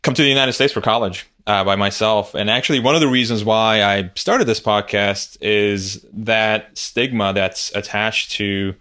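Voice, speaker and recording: Podcast host guy from turkey, lowish voice, easygoing questions. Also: bright, forceful tone, clean tone. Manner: measured, conversational questioning. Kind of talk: interview podcast.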